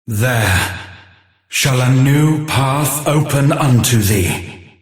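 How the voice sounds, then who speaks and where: Very slow, solemn prophetic sage. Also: male voice, mid-range voice, lively intonation. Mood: solemn, portentous. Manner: grave, archaic, formal declamation. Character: solemn, ancient-sounding prophetic male sage awaiting the hero. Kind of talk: scripted fantasy game dialogue. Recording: roomy echo, distant from mic.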